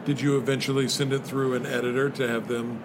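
Give me a man hosting a chat, asking long easygoing questions over clear street noise. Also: mid-range voice, flat delivery, fast. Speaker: podcast host. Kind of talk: interview conversation. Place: noisy spot near traffic. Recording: background traffic noise.

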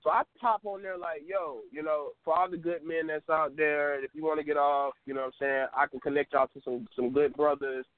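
A man's voice: on the high side, steady tone, a little fast, hardly any pauses, no echo. A man in his twenties calling in to a talk show, telling loose, rambling stories and laughing often. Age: 20s. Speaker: caller. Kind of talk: casual call-in chat. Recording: phone-line audio.

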